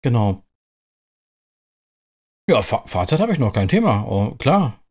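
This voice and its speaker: A man's voice: medium pitch, big pitch swings, unhurried, a little mellow. A middle-aged man from Germany giving chatty, colloquial commentary as host of a truck driving game. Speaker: host of a truck simulator roleplay series. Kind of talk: casual gameplay commentary in character. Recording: clear vehicle noise behind the voice.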